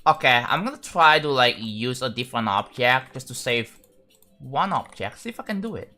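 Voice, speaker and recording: A young-sounding man chats live to his viewers while he works on a game level. His voice is bright and forward, at an everyday pitch. He rambles in loose English, filling gaps with "like" and starting sentences over. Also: animated, moderate pace. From Indonesia. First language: Indonesian. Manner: rambling, full of "like" and restarts, talking to chat. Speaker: streamer.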